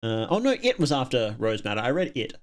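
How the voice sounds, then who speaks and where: A man's voice: mid-range voice, sing-song, fast talker. A man chatting off the cuff as a live streamer, musing aloud and often trailing off as he rambles. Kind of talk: casual off-the-cuff livestream chat.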